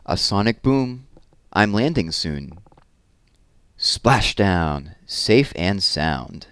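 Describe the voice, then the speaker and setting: A man reading a children's story aloud as host, with an expressive, deliberate storyteller delivery. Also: low-pitched, clear, resonant tone, no room sound. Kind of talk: expressive children's picture book read-aloud with informal asides.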